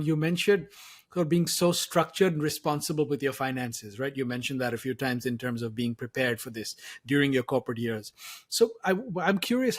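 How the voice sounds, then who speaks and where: A man hosting a casual conversational interview, affirming and summing up his guest's points before asking questions. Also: fairly high pitch. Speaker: podcast host, interviewer.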